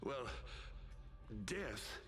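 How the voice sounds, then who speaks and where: A man playing an earnest, war-weary soldier of a doomed city in a video game, with a grave, halting, dramatic delivery. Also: mid-range voice, big pitch swings. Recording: game music under the voice.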